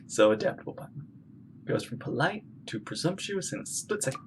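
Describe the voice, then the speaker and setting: A man's voice: mid-range voice, big pitch swings, brisk, background noise. A man streaming his reactions to a game, chatting and joking casually and often reading along with the lines. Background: game music.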